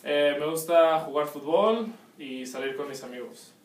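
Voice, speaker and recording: A man's voice: fairly high pitch, unhurried. A young man from Mexico giving brief, matter-of-fact interview answers in a small indoor room. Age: young adult. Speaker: student interviewee. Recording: distant echoey room, faint noise.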